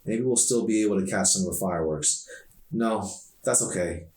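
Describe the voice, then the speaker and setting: A man's voice: fairly low pitch, normal speed, darkish tone, natural voice. A man voicing an anxious, defensive, awkward teenage boy from a novel, in an echoey recording. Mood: uneasy. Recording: echoey room, distant mic.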